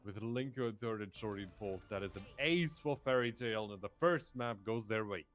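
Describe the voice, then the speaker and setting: A man's voice: fairly low pitch, normal speed, faint music behind. A man commentating live on a racing game as a play-by-play esports caster.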